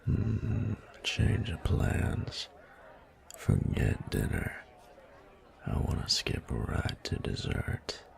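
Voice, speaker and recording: Man acting a rumbling, gravelly, cocky bully. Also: sing-song, full character voice. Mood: intrigued, hungry. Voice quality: very deep, gravelly, breathy murmur. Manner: slow, drawling, taunting. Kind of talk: scripted audio roleplay monologue.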